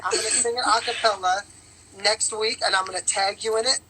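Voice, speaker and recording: A woman singer with a raspy voice from resting it, talking in a casual, upbeat way with lots of fillers as an interview guest. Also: mid-range voice, fairly even intonation, brisk, noisy background. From New York. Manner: upbeat, rambling with many fillers. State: strained voice on vocal rest. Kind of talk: casual interview on a live call. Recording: bad connection on a live stream.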